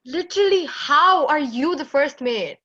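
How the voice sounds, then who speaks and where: A woman's voice: high-pitched, lively intonation, unhurried, bright, forceful tone, highs cut off, heavily acted. A woman playing a sardonic, impatient spaceship crew member in a sci-fi audio drama, sarcastic and exasperated. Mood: exasperated, wary.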